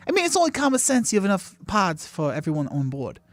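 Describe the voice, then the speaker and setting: A man running a tabletop game as game master, improvising scenes in a halting, informal, jokey way. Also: high-pitched, huge pitch swings, dry recording. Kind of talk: casual banter.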